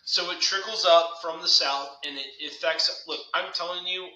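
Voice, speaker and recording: A man's voice: a little high, steady tone, moderate pace, pushed and bright. A man in his thirties co-hosting a podcast, talking emphatically and heatedly, full of "like" fillers. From United States. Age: 30s. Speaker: podcast co-host. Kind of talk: casual political chat. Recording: echoey room.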